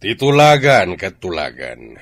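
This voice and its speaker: A low Ilocano man's voice with animated intonation. He plays a firm, defensive landowning husband in a closely dry-recorded radio drama. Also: a little slow, dry recording.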